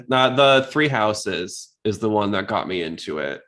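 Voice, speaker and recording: A man's voice: lowish voice, lively intonation, measured pace, a bit bright. A man hosting a casual podcast, talking in an informal, rambling way. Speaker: podcast host.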